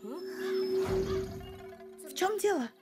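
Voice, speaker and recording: Woman voicing a very high-pitched cautious young girl. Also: drawn-out words, over loud music, heavily acted. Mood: polite, timid. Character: gentle, cautious young girl in fantasy cartoon. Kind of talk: Russian dub of animated fantasy series.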